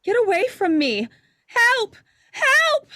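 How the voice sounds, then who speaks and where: A woman's voice: very high-pitched, wildly swooping pitch, slow pace, pushed and bright, no room sound, clean studio sound, full character voice. A woman playing a frightened, timid librarian in an old-time radio drama. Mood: terrified. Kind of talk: 1940s radio drama acting.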